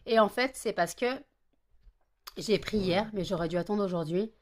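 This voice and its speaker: A woman's voice: medium pitch, normal speed, a little mellow. A woman, chatting casually in a home vlog, tired and a bit stuffy with a cold, rambling with many fillers and self-corrections. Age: 30s. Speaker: vlogger.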